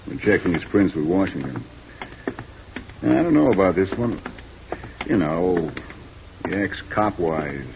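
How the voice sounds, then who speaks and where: A man's voice: low voice, sing-song, measured pace, gravelly, pauses often, heavily acted. A man acting a matter-of-fact, world-weary police sergeant in a 1950s radio noir drama, terse and slangy. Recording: noisy, muffled old radio recording.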